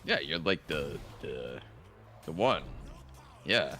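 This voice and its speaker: Very low-voiced woman with sarcastic asides. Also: big pitch swings, slow pace, fairly bright tone, soft background music. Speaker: co-streamer. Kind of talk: casual banter on a co-stream.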